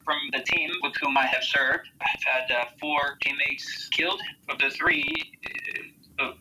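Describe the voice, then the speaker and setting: An American man giving earnest interview answers as a volunteer soldier, speaking haltingly as he searches for words. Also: medium pitch, fairly even intonation, background noise. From United States.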